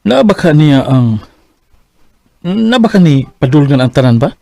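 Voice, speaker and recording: Man acting young husband, earnest, jokey, soft-spoken, radio drama. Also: a little high, very animated, measured pace, gravelly, heavily acted. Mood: worried. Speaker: voice actor.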